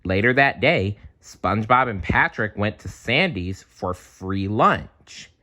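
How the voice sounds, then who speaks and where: A man reading a children's storybook aloud in an expressive, playful way, with chatty asides. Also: lowish voice. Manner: animated, unhurried, playful.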